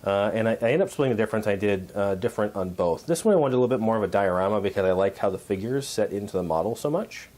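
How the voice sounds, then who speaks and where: Man, hoarse from a cold, fairly low voice, casual hobby vlog, halting with fillers. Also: lively intonation, darkish tone. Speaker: miniature painting hobbyist. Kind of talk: casual show-and-tell vlog.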